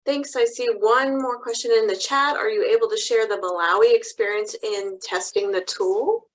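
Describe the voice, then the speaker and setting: A woman's voice: fairly high pitch, steady tone, moderate pace, rough recording. A woman moderating a webinar over a video call, friendly and inviting questions. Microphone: computer mic on a video call.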